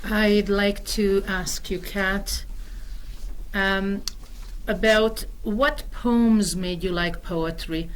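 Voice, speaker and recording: Hungarian-accented lady hosting a radio show, really slow careful reading. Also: mid-range voice, fairly even intonation, a little hiss or hum, poor audio. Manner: slow, careful, deliberate delivery. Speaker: host. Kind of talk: reading a prepared introduction.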